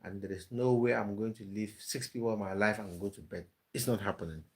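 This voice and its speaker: A man's voice: fairly low pitch, brisk, breathy edge. A man hosting a casual live stream, reading viewers' comments aloud and answering them with easy laughter.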